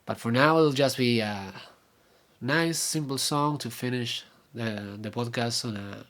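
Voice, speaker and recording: A man's voice: medium pitch, a bit bright. Young Argentine man, Spanish native, mid-range voice, casual rambling vlogger. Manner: rambling, halting, with many fillers and restarts. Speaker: vlogger and podcaster. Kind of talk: casual video update.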